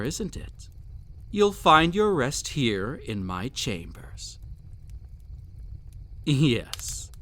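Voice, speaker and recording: A man's voice: mid-range voice, fairly bright tone, slight background noise. A man playing a cruel, possessive emperor in an intimate roleplay audio drama, silky and condescending, teasing as he pampers. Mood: possessive, pampering.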